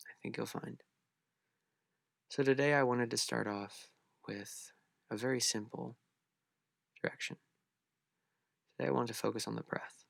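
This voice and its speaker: A man leading a beginners' guided meditation, speaking gently and unhurriedly with long pauses. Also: mid-range voice, creaky, dry recording, studio quality.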